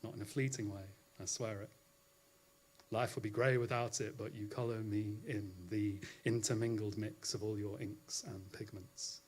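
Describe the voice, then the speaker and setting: A man's voice: fairly low pitch, steady tone, normal speed, a little mellow, slightly rough. A man performing spoken-word poetry as a poet at a live event, with an effusive, florid and theatrical delivery.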